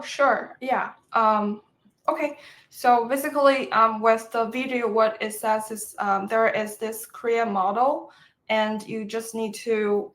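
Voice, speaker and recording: A woman from China with a Chinese accent, presenting to educators over a video call, speaking with many fillers and pauses in a friendly tone. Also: mid-range voice, a bit bright. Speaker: professor presenting. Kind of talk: webinar presentation.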